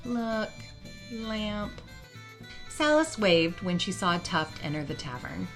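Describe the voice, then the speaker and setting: A woman's voice: on the low side, sing-song, moderate pace, creaky, fairly bright tone. A woman reading scripted fantasy story narration as the storyteller. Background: music.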